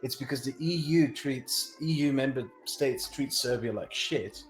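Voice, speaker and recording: A man's voice: mid-range voice, moderate pace. Give me an insistent man debating in an online chat on a roomy mic. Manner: insistent, argumentative. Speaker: panelist. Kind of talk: casual group chat. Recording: echoey mic.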